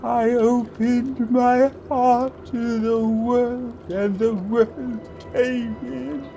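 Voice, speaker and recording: A man's voice: little pitch movement, very slow, vocal fry, dark tone, lo-fi sound, a little hiss or hum, exaggerated voice. A man voicing a forlorn prisoner who sings thinly in an audio drama. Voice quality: thin, high singing. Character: forlorn prisoner singing deep below a jail.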